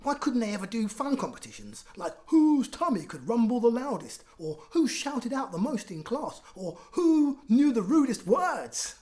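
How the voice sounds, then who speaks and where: A mischievous young schoolboy in a children's storybook, as a woman reader puts him on. He is excitable and sulky, grumbles and exclaims, and his pitch leaps about. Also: moderate pace, hardly any pauses. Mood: sulky, indignant.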